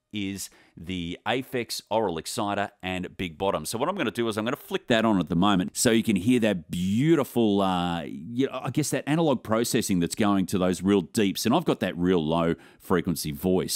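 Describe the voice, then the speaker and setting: A man with an Australian accent presenting an enthusiastic microphone review at his home studio desk, talking into a condenser on a boom arm. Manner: enthusiastic, upbeat presenter delivery. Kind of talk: product review demo. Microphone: USB condenser on boom arm with pop screen.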